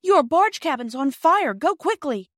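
A woman's voice: high-pitched, big pitch swings, unhurried, no room sound, clean studio sound, pitched above the performer's own voice. A woman voicing an eager, kind-hearted young girl in a storybook reading, earnest and full of exclamation. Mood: urgent.

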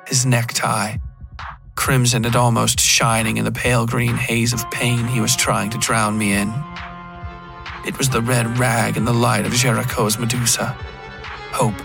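A man's voice: mid-range voice, gravelly. A man reading scripted first-person horror fiction as a podcast narrator. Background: music under the voice.

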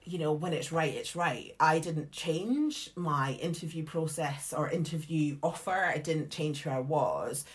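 A Scottish woman in her thirties with a low voice tells her career story to interviewers. She is frank and lively, full of casual asides and quick restarts. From Scotland. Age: 30s. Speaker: guest, theatre artistic director. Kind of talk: candid conversational storytelling.

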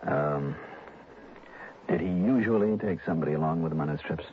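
A man's voice: very deep voice, fairly quick, slight background noise. A man playing a wry, skeptical private investigator in a 1960s radio drama, speaking colloquially. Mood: polite, probing. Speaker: lead actor and narrator of a detective serial. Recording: dull old radio broadcast, music behind.

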